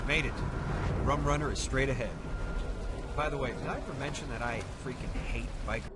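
An actor playing a level-headed, wry costumed crime fighter in a video game, speaking in a measured, conversational way. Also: male voice, medium pitch, heavy background noise, natural voice. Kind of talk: video game cutscene acting. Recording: loud music behind the voice.

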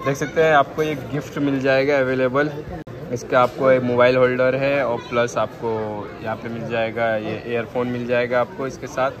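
Man flatly reading out phone prices. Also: medium pitch. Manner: flat, repetitive price listing. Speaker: vlogger showing phone prices. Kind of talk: casual walk-through shop tour. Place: large indoor shopping mall.

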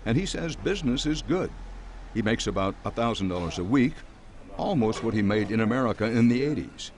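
A man reporting a documentary news story in a measured, scripted voice-over. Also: medium pitch, animated, slight background noise.

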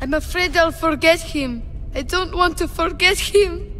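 A stubborn, grieving young boy in an action game, with a high, bright voice and slow delivery. The lines ring with long reverb over faint noise. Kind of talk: video game cutscene acting.

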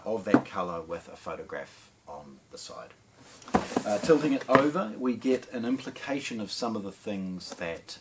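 A middle-aged man with a New Zealand accent casually presenting an unboxing, in a hesitant, improvised way with frequent pauses. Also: on the low side. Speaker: product presenter at an audio shop. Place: small indoor showroom with shelves and speakers. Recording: clear background noise.

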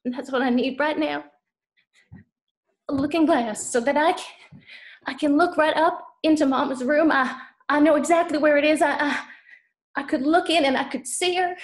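An actress playing an anxious, devoted daughter in a theatrical monologue, speaking haltingly and emotionally. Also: female voice, high voice. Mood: desperate, determined. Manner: halting, repeating words, emotional. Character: anxious, devoted Southern daughter kept from her mama's bedside.

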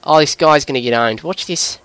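A man putting on an Australian accent as an excitable, sweary bloke giving live video game commentary in a voice pushed higher than usual. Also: fairly quick, clear, resonant tone, lightly acted. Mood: focused, chatty. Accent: put-on Australian. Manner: excitable, sweary, breaking into giggles at himself. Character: excitable Australian bloke gamer, jokey larrikin.

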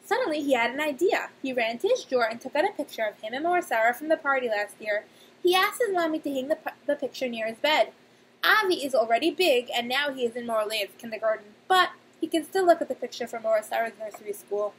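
High-voiced young woman talking to small children. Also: rough recording. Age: young adult. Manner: expressive, simple child-directed speech with emphatic pauses. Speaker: nursery teacher. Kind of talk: read-aloud and talk to young children. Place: small indoor room. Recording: clear background noise, webcam-style room recording.

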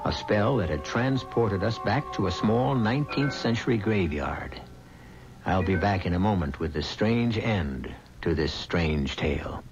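An actor playing a mysterious storyteller host, narrating a 1970s radio mystery in a deliberate, suspenseful way. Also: male voice, deep voice, lively intonation. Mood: intriguing, measured. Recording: muffled old radio recording with music.

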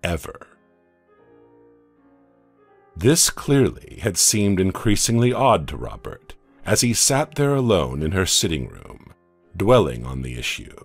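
A man tells a dark tale in a measured, dramatic narration. His voice sits very low yet moves through a wide, expressive pitch range. A slightly husky grain colours every line, over faint background music. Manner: slow, dramatic storytelling with hushed drops. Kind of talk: scripted audiobook narration.

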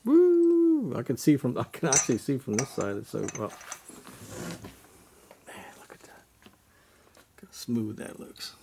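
Gravelly guy at a shop bench, jokey, tools clinking all around. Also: mid-range voice, wildly swooping pitch, noisy background. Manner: jokey, quick quips. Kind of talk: casual chat while working. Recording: shop clatter of tools behind.

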